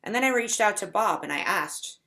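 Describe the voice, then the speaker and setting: A woman giving a conference talk in a deliberate, explanatory way, slowing down to stretch key words. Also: mid-range voice, expressive, vocal fry, pushed and bright. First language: English. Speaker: conference speaker. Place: home setup for a virtual conference.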